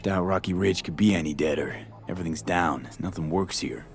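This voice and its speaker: A deep-voiced male mercenary from a video game. He is streetwise and cool, talking in short, practical, slangy bursts. Music plays clearly behind him. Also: fairly quick. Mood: matter-of-fact. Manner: laconic, clipped slangy phrasing. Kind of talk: naturalistic video game voice acting.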